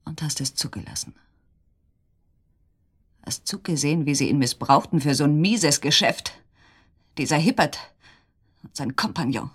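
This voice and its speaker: An actress in a German radio drama playing an anguished wife who accuses a treacherous friend with intense, halting questions. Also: female voice, sits low, expressive, slightly rough. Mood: incredulous, probing.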